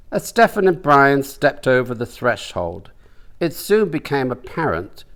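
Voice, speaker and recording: A man reading comic fiction aloud as the author, with deliberate dramatic pauses. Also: mid-range voice. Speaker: author reading from own novel. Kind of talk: comic audiobook-style narration.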